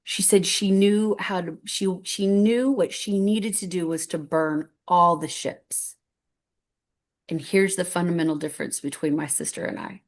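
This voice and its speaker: A woman in her fifties speaks with a somewhat low voice, earnest and rambling. She tells a personal story on stage and often restarts her sentences. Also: fairly even intonation, a little slow. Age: 50s. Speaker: guest speaker.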